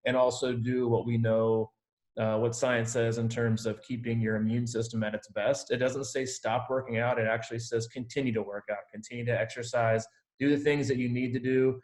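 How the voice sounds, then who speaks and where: A man's voice: medium pitch, little pitch movement, fairly quick, darkish tone. A man working as a triathlon coach, informally and reassuringly answering team questions on a video call.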